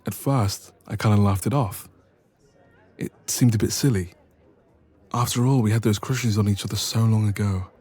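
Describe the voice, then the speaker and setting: Man acting as affectionate young male best friend for romance audio roleplay; dark, relaxed, lowish voice, hesitant, intimate, gently teasing. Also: normal speed, close to an everyday voice. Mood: nervous, tender.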